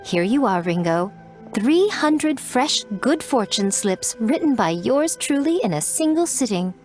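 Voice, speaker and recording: A woman's voice: a little high, big pitch swings, measured pace, darkish tone, faint music behind. A woman playing a teasing, self-important shrine head priestess in a video game, with a playful, sweetly condescending manner. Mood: teasing, sly.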